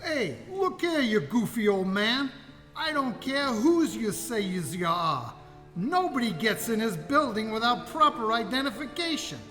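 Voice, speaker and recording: A woman's voice: on the high side, big pitch swings, a little slow, a bit bright. A woman playing a tough, threatening security guard in a radio play, blunt and slangy. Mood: gruff, threatening. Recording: reverberant hall sound, music behind.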